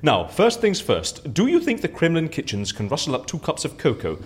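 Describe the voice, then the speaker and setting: An actor playing an eccentric, chatty British gentleman fixer in an audio drama, effusively polite and easily flustered. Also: on the high side, huge pitch swings, normal speed, breathy edge, full character voice. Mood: effusive, ingratiating.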